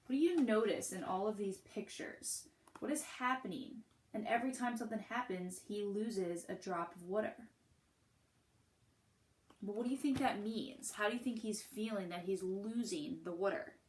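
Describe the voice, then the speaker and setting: A woman's voice: medium pitch, normal speed. Woman reading a picture book aloud. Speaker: teacher reading aloud to children. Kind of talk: picture book read-aloud with questions to listeners.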